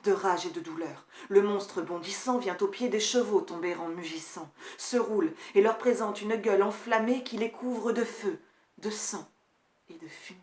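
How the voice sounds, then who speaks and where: A woman performing a grief-stricken male tutor in a classical verse tragedy, solemn and measured, moving between hushed and forceful delivery. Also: medium pitch, reverberant, natural voice. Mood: urgent, horrified. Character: grief-stricken male tutor recounting his prince's horrific death. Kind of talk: dramatic classical French verse recitation.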